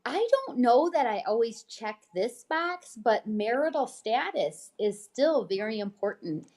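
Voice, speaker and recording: A high-voiced American woman co-hosts a casual livestream. She reads items aloud in an informal, chatty way, dropping in asides and short check-in questions. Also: animated, a little slow, a little mellow. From United States. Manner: informal, frequent check-in tags like "okay?". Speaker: host, real estate agent reading a newsletter.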